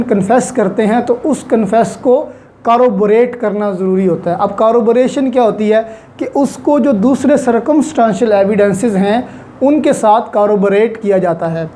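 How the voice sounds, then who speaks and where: Mellow-voiced Pakistani woman lecturing. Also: medium pitch. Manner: deliberate, repeats key points. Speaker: law lecturer. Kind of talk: explanatory lecture mixing in English legal terms. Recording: faint steady background noise.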